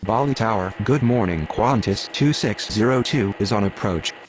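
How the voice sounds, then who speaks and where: Actor as a formal low-voiced airline pilot. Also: male voice, a little hiss or hum, natural voice. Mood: calm. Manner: formal, measured. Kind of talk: clipped radio phraseology.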